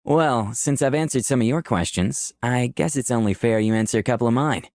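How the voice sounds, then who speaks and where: A man's voice: medium pitch, a little fast, a little mellow, no room sound, studio quality, natural voice. A man voicing a candid, easygoing young protagonist who narrates a visual novel in the first person. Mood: candid, friendly. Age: young adult. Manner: clear scripted storytelling.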